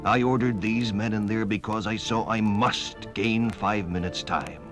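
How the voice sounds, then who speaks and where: Commanding Union general, old radio drama. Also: male voice, lowish voice, steady tone, measured pace, a bit bright. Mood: grave. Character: commanding Union army general, urgent and authoritative. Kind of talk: 1950s radio drama acting. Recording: 1950s radio recording, music behind.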